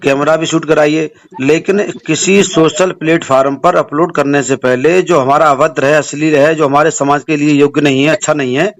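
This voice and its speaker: A man's voice: on the high side, fairly even intonation, moderate pace. A man in a phone conversation, speaking formally and admonishingly as an activist, repeating phrases for emphasis. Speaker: religious organisation activist. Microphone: phone call. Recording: phone call recording with clear noise.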